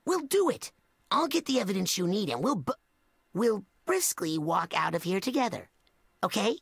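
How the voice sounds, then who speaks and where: Game kid hero: eager plucky boy, raspy edge, wildly animated intonation. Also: mid-range voice, normal speed, dry recording, exaggerated voice. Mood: eager, earnest. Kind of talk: animated video game character acting.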